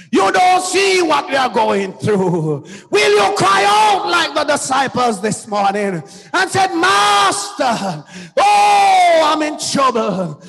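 A man preaching a fervent sermon, shouting and sliding into a half-sung cadence. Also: very high voice, gravelly. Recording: long hall reverb with faint music.

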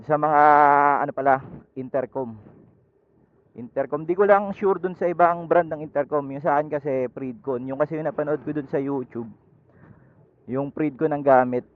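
A man's voice: a little high, normal speed. A man vlogging casually as he rides a motorcycle, talking loosely through a helmet intercom headset. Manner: rambling, loose and chatty with fillers. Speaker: motovlogger. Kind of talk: casual how-to vlog, chatting while riding. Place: outdoors on a motorcycle. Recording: dull lo-fi intercom audio.

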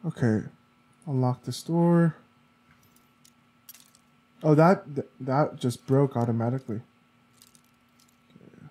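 A man's voice: mid-range voice, takes it slowly, long pauses, mellow. A sniffly, congested man giving casual gameplay commentary, muttering to himself as he plays. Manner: muttering to himself while playing. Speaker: let's play gamer.

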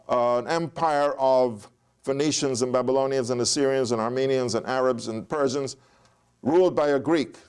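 An older man giving a recorded history lecture in front of a chalkboard, telling the story with animated gestures and frequent restarts. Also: mid-range voice. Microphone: lapel.

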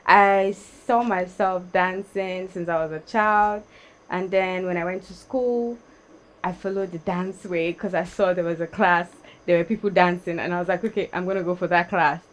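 Young woman from Nigeria, lowish voice, chatty, laughs a lot, casual interview on a studio set. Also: moderate pace, poor audio. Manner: laughs often, chatty and lively. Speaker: guest, dancer.

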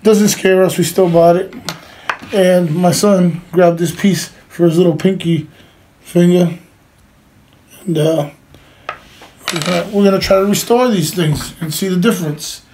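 A middle-aged man with a noticeably high-pitched voice talks through a hands-on demonstration. He is informal and plain-spoken. The home recording has clear background noise, picked up by a camera across the table. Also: steady tone, unhurried, long pauses, warm tone, low-quality recording. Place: indoors, small room with cloth backdrop.

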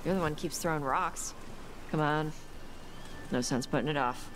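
A woman playing a blunt young horned warrior in a video game, terse and understated, with a gruff manner. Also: low-pitched, moderate pace, noisy background, close to an everyday voice. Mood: curt.

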